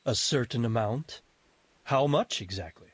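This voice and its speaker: An actor playing an ageing medieval nobleman in a video game, practical, wry and quietly commanding. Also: medium pitch, animated, breathy edge, no room sound. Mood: measured, practical. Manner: measured, authoritative, wry. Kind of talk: video game cutscene acting.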